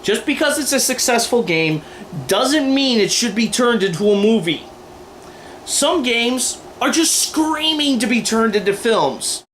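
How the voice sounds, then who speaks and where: A man with a high-pitched voice gives opinionated commentary. He stresses his points emphatically, with a sardonic edge and crude humour. The homemade recording carries some background noise. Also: animated, measured pace, a bit bright, low-quality recording. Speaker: video commentator. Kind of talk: opinionated scripted-style commentary with ad-libs. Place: indoors, in front of a running TV. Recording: clear background noise, home camera.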